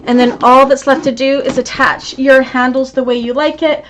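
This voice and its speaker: A woman's voice: sits high, steady tone, normal speed, background noise. A woman teaching a live online sewing class, chatty and encouraging as she answers viewer comments. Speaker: sewing instructor.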